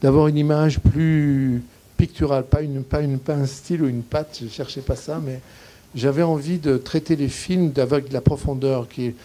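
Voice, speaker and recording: A man in his sixties, a guest cinematographer, answering questions thoughtfully and haltingly, often restarting as he searches for words, speaking into a handheld mic in a small indoor room. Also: medium pitch, steady tone, mellow. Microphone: handheld mic, standing.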